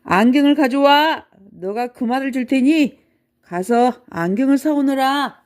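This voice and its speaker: A woman voicing a very old, toothless male giant from a children's storybook, gruff and blustery, with grumbles and exclamations. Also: high voice, unhurried, a little mellow, pitched above the performer's own voice. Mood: bellowing, demanding.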